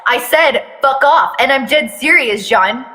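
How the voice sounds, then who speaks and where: A fierce teenage boy , acted out by a woman reader, gives curt, threatening lines in a fan fiction story. The voice is high and forceful, ringing in long reverb. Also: animated, a little slow, exaggerated voice. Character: fierce, hostile teenage boy warning someone off. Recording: long echoing room reverb.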